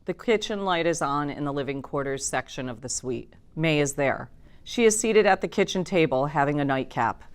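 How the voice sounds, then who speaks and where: Low-voiced woman narrator. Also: animated, normal speed. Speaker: narrator reading stage directions. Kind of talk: scripted narration at a table reading.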